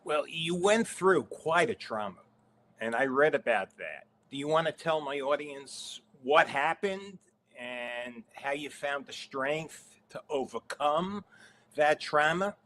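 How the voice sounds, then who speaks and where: A man's voice: fairly high pitch, expressive, slow, bright, forceful tone, a little hiss or hum. A middle-aged man hosting a livestream interview, introducing his guest effusively. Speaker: interview host.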